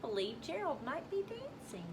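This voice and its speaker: Expressive, high-voiced woman reading aloud. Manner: expressive, pausing to explain to young listeners. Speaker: storybook reader. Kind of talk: children's bedtime story read aloud. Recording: noticeable background noise.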